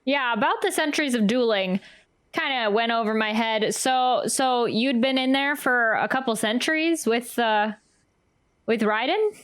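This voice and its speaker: A woman's voice: on the high side, unhurried, no echo, clean studio sound. A woman streaming a game, reacting in casual, chatty, exclamatory commentary.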